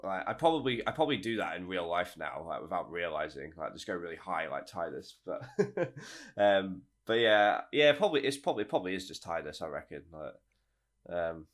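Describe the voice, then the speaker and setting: Laughing man, low voice. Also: sing-song, moderate pace. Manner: laughs often, lots of filler words. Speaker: voice actor of a fan abridged series. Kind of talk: casual podcast chat.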